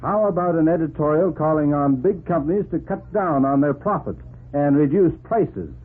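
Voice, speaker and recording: An actor playing a gruff, indignant newspaper editor who speaks emphatically, in 1940s radio drama style. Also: male voice, fairly high pitch, moderate pace. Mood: reading aloud, pointed. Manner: forceful, emphatic, declaiming. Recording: phone-like 1940s radio recording.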